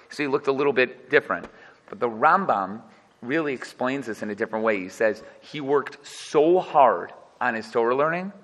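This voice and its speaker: A man's voice: mid-range voice, a bit bright, long reverb tail. A man giving an informal, joking Torah class and putting questions to his students. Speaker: Torah teacher.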